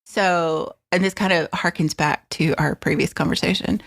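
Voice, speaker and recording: A woman hosts a casual podcast interview in a somewhat low voice. She is friendly and encouraging, and she answers her guest with short affirmations as she leads the chat. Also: fairly even intonation, moderate pace, slight background noise. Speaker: podcast host.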